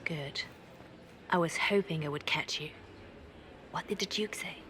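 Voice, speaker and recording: A woman voicing a shrewd, poised female spymaster in a video game, speaking coolly with a knowing, quietly sardonic edge. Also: low-pitched, a little slow, in a whisper. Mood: briefing. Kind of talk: video game cutscene dialogue. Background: orchestral music.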